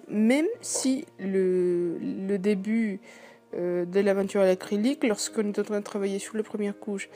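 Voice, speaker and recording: A hoarse young woman with a sore throat, talking softly and hesitantly as she hosts her painting vlog. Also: medium pitch. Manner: speaks softly, hesitant with many fillers. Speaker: art channel host. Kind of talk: casual vlog voice-over about painting.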